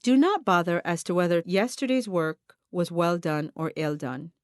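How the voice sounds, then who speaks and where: A woman reading a self-help audiobook in a deliberate, emphatic, instructional manner. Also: on the low side, no room sound, clean studio sound. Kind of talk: scripted audiobook narration of a self-help text.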